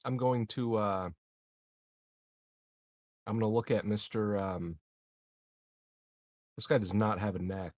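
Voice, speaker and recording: A man's voice: on the low side, fairly even intonation, takes it slowly, long pauses, a little mellow, no room sound. Casual man with a dry, close sound. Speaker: tabletop game player. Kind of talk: casual game-table chat.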